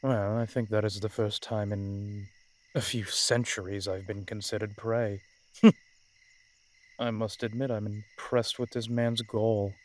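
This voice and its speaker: Man playing a slow, low-voiced ancient vampire warlord. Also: flat delivery, darkish tone. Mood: wryly amused. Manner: measured, archaic, courtly phrasing with dramatic pauses. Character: centuries-old male vampire warlord, protective, proud, courtly. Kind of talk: roleplay audio drama, addressed intimately to one listener.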